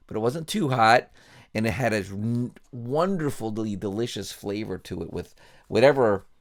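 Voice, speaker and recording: A man with a low, heavily creaky voice tells a bedtime story. His delivery is folksy and informal, like a pastor making it up as he goes, repeating phrases along the way.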